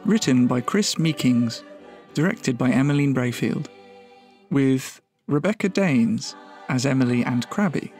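A man's voice: medium pitch, lively intonation, a little slow, a little mellow. Announcer, male: middle register, scripted credit read over a music bed. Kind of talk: scripted narration.

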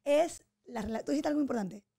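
A woman's voice: sits high, quick pace, gravelly. A woman in her thirties hosting a podcast, chatting casually and expressively, affirming her guest. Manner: expressive, affirming, gestures while talking. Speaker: podcast host. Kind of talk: casual interview chat. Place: small home podcast studio. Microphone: studio mic on boom arm, headphones.

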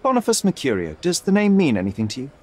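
A young detective, interrogates in a video game with a somewhat higher voice and strongly varied intonation. He is cold, righteous and sardonic, clipped and formal, over a faint background noise. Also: huge pitch swings, quick pace, a little mellow. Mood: stern. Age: young adult. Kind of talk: video game cutscene acting.

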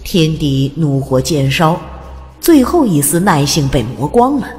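A woman's voice: low-pitched, lively intonation, measured pace, a little mellow. A woman narrating a fantasy audiobook in a dramatic, scripted storytelling style. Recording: long reverb over music. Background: music.

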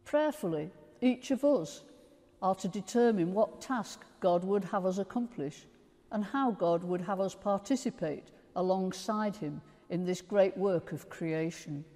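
A woman's voice: medium pitch, measured pace, mellow. Older woman priest in a reverberant church. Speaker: priest leading a church service. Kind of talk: sermon and liturgy. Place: large stone church, lectern microphone.

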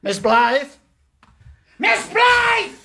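A man's voice: extremely high pitch, big pitch swings, drawn-out words, gravelly, raised voice, noisy background, full character voice. An actor playing a stern, sarcastic middle-aged father and businessman in a formal period radio drama. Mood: bitter, angry.

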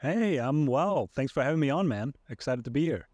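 A young man from Iceland speaks as a podcast guest from his home streaming setup. His mid-range voice comes through a headset on a video call, dry with no room sound. He talks in a halting way, full of fillers and restarts. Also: mid-range voice, lively intonation, a little mellow, no room sound. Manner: hesitant, many fillers and restarts. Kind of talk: casual interview chat.

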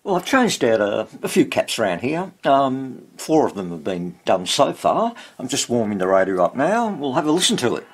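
An older man with a husky voice, giving casual, halting hands-on commentary as he tinkers at an indoor workbench. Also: mid-range voice, sing-song, slight background noise. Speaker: radio repair hobbyist.

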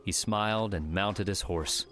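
Male narrator, low register, scripted fiction reading over soft music. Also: a little slow. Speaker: audiobook narrator.

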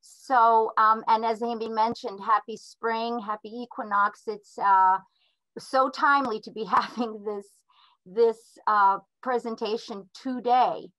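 A woman's voice: a little high, steady tone, fairly bright tone, no room sound. A woman in her sixties whose first language is Ukrainian, giving a lecture over a video call from her home and often restarting her sentences. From Philadelphia, USA. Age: 60s. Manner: hesitant, restarts often, slow and careful. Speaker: artist lecturer. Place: home room with a wood stove. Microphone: laptop webcam mic on a video call. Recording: dull, dry video call audio.

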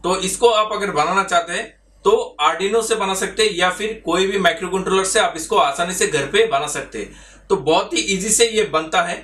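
A man's voice: high-pitched, normal speed, a bit bright. A man, giving instructional tutorial narration as host of an electronics channel. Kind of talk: instructional tutorial narration mixed with English terms.

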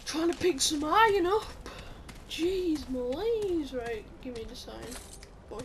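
A woman with a croaky voice giving chatty let's-play commentary, blurting out reactions and muttering asides. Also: sits high, animated, slow. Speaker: gameplay commentator. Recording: loud background noise under the voice.

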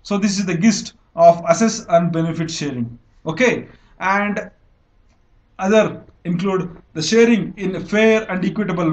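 A man's voice: high-pitched, low-quality recording. A young man with an Indian English accent, teaching an exam-prep lecture over slides, explaining step by step with frequent fillers and self-corrections. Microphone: voice-over recorded over screen annotation.

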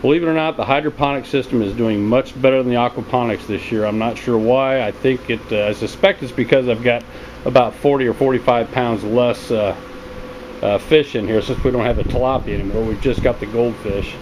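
A man gives a casual, unscripted video tour in a plain-spoken, low-key way, talking from behind a handheld camera in a small basement room. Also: a little fast, poor audio. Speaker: home hydroponics hobbyist narrating a video tour. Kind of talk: casual unscripted walkthrough narration. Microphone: handheld camera, narrator behind it. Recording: steady loud hum and water noise.

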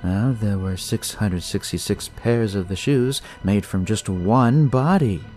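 Man putting on a slow, ceremonious young cult leader. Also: lowish voice, dark tone, soft background music, natural voice. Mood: ominous, grandiose. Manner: slow, drawn-out, ritual pronouncements. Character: smug, ceremonious young male devil-cult leader, looks drugged.

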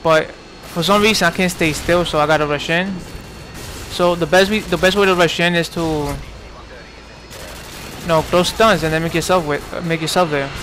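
A man's voice: fairly high pitch, fairly even intonation, moderate pace, a bit bright, slight background noise. A man in his twenties from New York giving casual, rambling commentary over gameplay, full of fillers and restarts. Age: 20s. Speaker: gaming commentator.

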